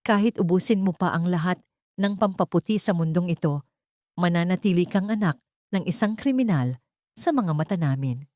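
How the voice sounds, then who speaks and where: A woman's voice: fairly low pitch, animated, moderate pace, darkish tone, no room sound, clean studio sound, lightly acted. A woman voicing a cruel, haughty older rich matriarch in an audiobook drama, imperious and scornful, with sweetness laced with venom.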